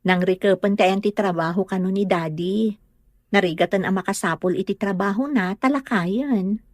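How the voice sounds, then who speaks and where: A woman's voice: mid-range voice, moderate pace, no echo, studio quality, lightly acted. A woman with a northern Philippine accent playing a dutiful young wife in an audio drama, respectful and soothing.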